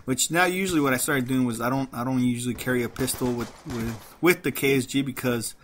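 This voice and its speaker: A man's voice: medium pitch, quick pace, slight background noise. A man with a light Mexican accent, rambling through casual gameplay commentary and laughing at himself. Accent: light Mexican Spanish. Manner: rambling, self-deprecating, frequent restarts and laughs. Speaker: gaming commentator.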